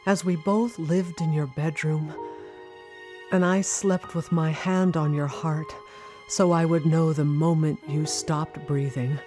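A woman's voice: deep voice, steady tone, takes it slowly, dark tone, faint music behind. A woman acting a grieving daughter in an audio drama, speaking reflectively and confessionally to her dying mother with regret and tenderness. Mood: tender.

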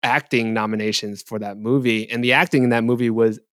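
A man's voice: medium pitch, steady tone, fairly quick, a bit bright, no room sound. A man in a mid-range voice, joining a podcast as a guest. He works through his ideas thoughtfully, hedging and restarting as he goes. The recording is dry and close. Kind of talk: casual podcast discussion.

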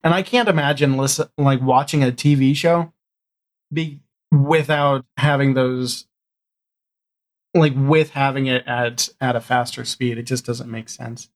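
A man chatting casually as an interview guest, in a halting way with repeated and reworked phrases. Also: medium pitch, steady tone. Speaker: podcast guest, audio drama showrunner.

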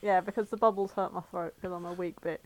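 A woman's voice: fairly low pitch, steady tone, quick pace, a crowd in the background. A woman chatting casually and swearing freely as co-host of a comic reading show. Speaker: co-host and reader.

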